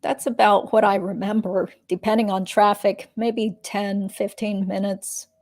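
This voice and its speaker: A woman reading the part of a guarded witness in a deposition, giving terse, measured answers. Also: mid-range voice, little pitch movement, a little mellow, close to an everyday voice. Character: guarded adult female witness being deposed. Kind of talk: dramatic reading of a legal deposition transcript.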